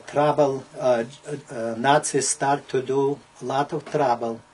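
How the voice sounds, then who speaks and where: A man in his seventies from Poland with an Eastern European accent, giving personal testimony in halting, broken English phrases with frequent pauses. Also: medium pitch, darkish tone, husky, poor audio. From Poland (now Belarus). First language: not English. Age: 70s. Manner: halting, pausing often, in short broken phrases. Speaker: Holocaust survivor giving testimony. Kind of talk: personal oral history testimony.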